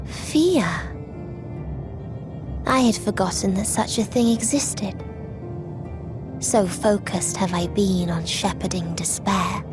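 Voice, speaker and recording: A woman's voice: mid-range voice, over music, natural voice. A woman voicing a young female familiar who spreads despair, speaking formally and with serene detachment in a game cutscene. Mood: cold, dismissive. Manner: slow, measured, formal and detached. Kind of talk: scripted video game cutscene acting.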